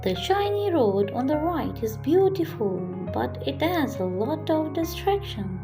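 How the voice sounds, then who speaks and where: A woman voicing a wise, helpful male bird in a children's story, giving careful guidance with a raspy edge. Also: high-pitched, very animated, unhurried, over music, full character voice. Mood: solemn warning. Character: ugly, ragged old-looking male bird, helpful and wise.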